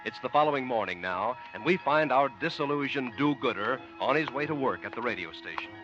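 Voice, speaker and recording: A man announcing and narrating a 1950s radio comedy in a smooth, polished style. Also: medium pitch, lively intonation, brisk. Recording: muffled 1950 radio broadcast with music.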